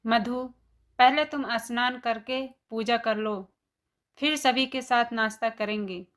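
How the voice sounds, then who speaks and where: A kind, indulgent mother-in-law from an animated family story, acted by a woman. Her voice is slightly high and very slow, with a gentle, reassuring way of talking. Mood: caring. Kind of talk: animated moral story dialogue.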